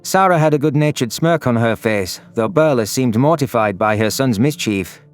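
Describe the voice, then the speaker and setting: A man narrates a sci-fi story in a scripted, first-person style. His voice is mid-pitched, with faint music running underneath. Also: lively intonation, moderate pace. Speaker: audio drama narrator.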